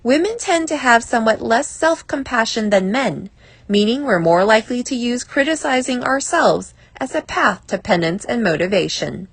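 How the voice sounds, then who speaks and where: A woman reading a self-help article aloud as scripted narration, in a measured, clearly phrased way. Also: a little high, expressive, dry recording.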